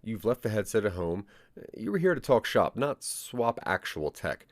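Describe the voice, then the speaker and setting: A man's voice: on the low side, very animated, moderate pace, dry recording. A man narrating a scripted second-person story for an interactive audio drama.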